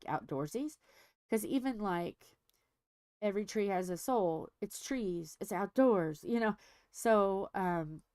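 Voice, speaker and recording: A woman's voice: on the low side, darkish tone, dry recording, studio quality. A middle-aged woman hosting a diamond painting livestream, chatting casually and rambling as she thinks aloud with many fillers, a little stuffy.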